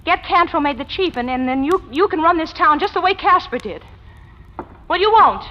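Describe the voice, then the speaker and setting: A woman's voice: very high voice, lively intonation, normal speed. Noir crook's girlfriend, emotional, voice goes up and down a lot, dull hissy old radio sound. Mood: bitter, defiant.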